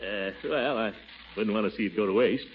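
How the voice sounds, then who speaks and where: An actor playing a pompous, blustering middle-aged bachelor who hems and stammers, in 1940s radio acting. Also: male voice, fairly low pitch, a little fast, a little hiss or hum, natural voice. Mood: flustered coaxing. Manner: hemming, stammering, coaxing. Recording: muffled vintage radio broadcast.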